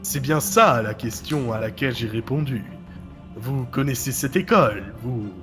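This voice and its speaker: A man plays a mocking, sinister director in an audio drama, a shadowy other self. He taunts with deliberate, theatrical phrasing over light background music. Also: mid-range voice, lively intonation, natural voice. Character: mocking, menacing male director, hero's forgotten other self.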